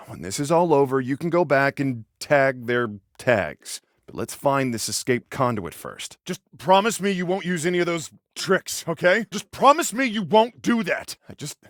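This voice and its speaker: An actor with an American accent playing a protective, exasperated older brother in a video game, earnest and urgent with a dry sarcastic edge. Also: male voice, a little high, very animated, normal speed, dry recording. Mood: subdued, wry. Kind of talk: naturalistic video game voice acting. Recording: dry isolated game voice lines.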